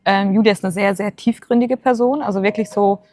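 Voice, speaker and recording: A woman's voice: medium pitch, fairly even intonation, brisk, a little mellow. A woman in her late twenties talking earnestly and encouragingly in a casual chat, with many filler sounds. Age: 20s. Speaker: co-host.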